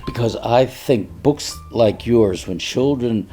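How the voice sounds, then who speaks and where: A man's voice: medium pitch, takes it slowly, mellow, faint music behind. A man co-hosting a podcast interview, talking casually with frequent restarts and repeated words. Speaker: podcast co-host.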